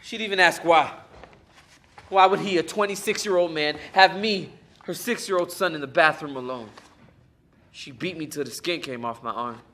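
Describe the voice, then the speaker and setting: A wounded, guarded young man voiced by an actor, with a fairly high voice and a pained, earnest delivery. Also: normal speed, pushed and bright. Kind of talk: stage play acting.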